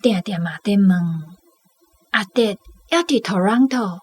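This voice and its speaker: A woman's voice: mid-range voice, a little slow, slightly rough. An actress with a Taiwanese accent playing a sympathetic woman talking of a friend's hardships in a radio drama. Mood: sympathetic, sorrowful.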